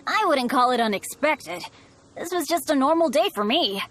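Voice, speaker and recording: A woman voicing an earnest, excitable teenage boy adventurer in a video game, boastful yet kind, with a boyishly dramatic delivery. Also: high voice, moderate pace, a little hiss or hum. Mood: indignant, apologetic.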